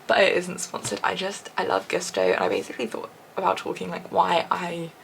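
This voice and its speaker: A young English woman vlogging casually to a handheld camera, chatty and rambling, her voice a little husky and deep, sometimes dropping to a soft whisper. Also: sing-song, fairly quick, slight background noise. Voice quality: slightly deep, husky morning voice. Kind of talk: casual vlog chat to camera. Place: at home and outdoors after a run. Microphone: small handheld camera.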